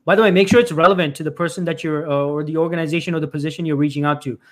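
A man's voice: a little high, steady tone, very fast, fairly bright tone. A man hosting a live stream as a career coach, giving emphatic advice to job seekers, often repeating himself and calling his audience "folks.". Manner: frequent restarts and filler "uh".

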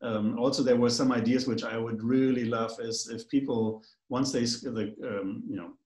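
A man's voice: medium pitch, steady tone, normal speed, a little mellow, roomy sound. A man with a German accent, a guest in a casual conversation, talking in a rambling, hesitant way with many fillers.

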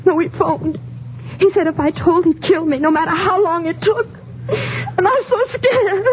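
A woman's voice: very high-pitched, moderate pace. An actress playing a frightened, evasive young woman who witnessed a killing, nervous and pleading, in a 1950s radio drama. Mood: terrified, tearful. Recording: muffled old-time radio recording, faint noise.

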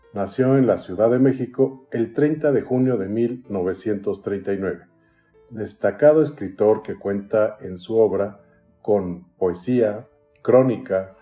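A man hosting a literary podcast, reading an introduction and an author biography in a formal, measured way. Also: fairly low pitch, mellow, highs cut off. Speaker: podcast host.

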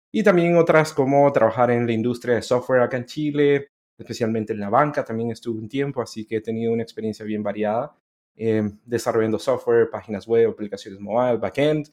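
A man's voice: medium pitch, darkish tone. Guy being interviewed, friendly and chatty, laughs easily. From Central America. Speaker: interview guest. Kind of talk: casual interview chat.

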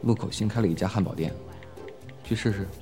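A brash teenage schoolboy from a Chinese radio drama, played by a man, speaks in a fairly low voice. He is blunt, slangy and playfully teasing. Music plays clearly under the studio-recorded voice. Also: a little fast, lightly acted. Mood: casual muttering. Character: brash, teasing male high school student, playful and cocky. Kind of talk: Chinese audio drama acting.

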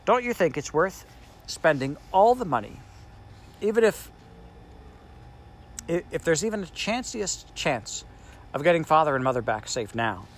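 A man voicing a gentle older girl in an audiobook, speaking in an earnest, pleading way. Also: on the high side, very animated, measured pace, long pauses, voice pushed up.

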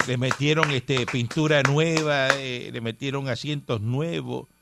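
A man's voice: medium pitch, steady tone, measured pace, vocal fry, noisy background. A man from Cuba hosting a casual radio talk show, rambling and emphatic with many fillers. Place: radio studio.

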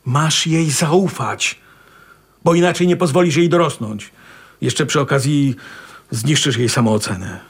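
An actor playing a middle-aged husband and father in a naturalistic radio drama, husky and reproachful, with pointed, probing questions. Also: a little high, measured pace. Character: calm, needling husband and father of a teenage daughter.

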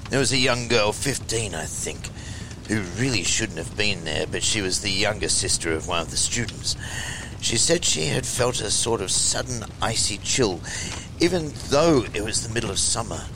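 Guy with a lowish voice reading spooky stuff like a horror host, theatrical and deliberate, rumbly noise behind him. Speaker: host and reader of a horror anthology show. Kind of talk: expressive storytelling and letter reading.

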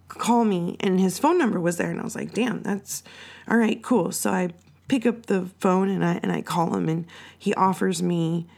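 Low gravelly woman talking on her podcast, raspy and sick, swears a lot and rambles. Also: darkish tone. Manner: rambling and profane, lots of fillers. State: sick, hoarser than usual. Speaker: podcast host. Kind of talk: casual solo podcast chat.

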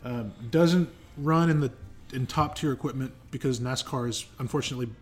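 Guy from the us talking on a podcast, medium pitch, informal, opinionated, gets emphatic. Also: a little fast. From United States. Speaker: podcast co-host. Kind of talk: casual conversational discussion.

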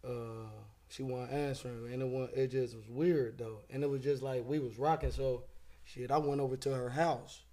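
A man's voice: mid-range voice, fairly even intonation, normal speed, warm tone. Laid-back young man with slang. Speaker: comedian guest. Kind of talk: casual storytelling.

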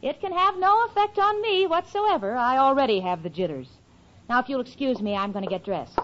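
A woman's voice: high voice, lively intonation, fairly quick, fairly bright tone. A woman playing a sensible, exasperated young roommate with dry, sarcastic timing in a noisy, muffled 1940s radio sitcom. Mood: exasperated, anxious. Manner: dry, sarcastic, sharply articulated comic delivery. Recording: muffled, noisy old-time radio broadcast.

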